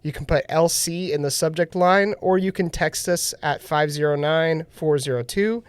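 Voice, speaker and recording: A man's voice: a little high, normal speed, clear, resonant tone, no room sound. A man hosting a casual radio talk show, sounding nasal after being sick, laughing often as he tells a story. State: nasal from recent illness. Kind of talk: casual chat.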